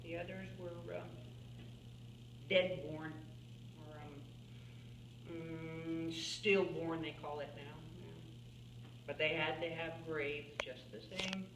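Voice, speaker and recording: Casting: actress as elderly rural woman painter for a stage monologue; unhurried, folksy, wry, halting delivery, recorded in a roomy space. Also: low voice, fairly even intonation, fairly bright tone. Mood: subdued, wistful. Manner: halting, musing, with small chuckles and pauses. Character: elderly folksy farm-woman painter, plainspoken and wryly reminiscing. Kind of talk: theatrical monologue in character. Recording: roomy, distant mic, faint background noise.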